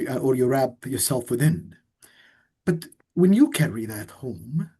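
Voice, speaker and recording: A man hosting an interview, asking reflective questions in a soft, measured way. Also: mid-range voice, breathy edge. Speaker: host.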